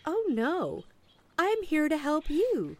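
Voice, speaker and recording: A woman's voice: sits high, wildly swooping pitch, slow pace, a little mellow, heavily acted. A woman playing a relentlessly upbeat, over-polite government agent in an audio play. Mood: bright, officious. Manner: over-polite, saleswoman-like cheer.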